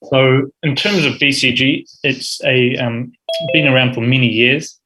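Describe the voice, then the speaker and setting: A man's voice: mid-range voice, fairly even intonation, a little slow, noisy background. A man in his thirties with a New Zealand accent, giving an academic medical presentation with frequent ums and uhs. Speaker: urology fellow giving grand rounds talk.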